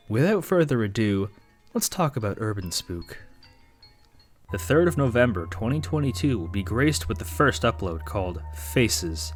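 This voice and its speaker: A young man narrating a scripted commentary video with wry, sarcastic asides, over faint background music. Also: medium pitch. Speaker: YouTube commentator.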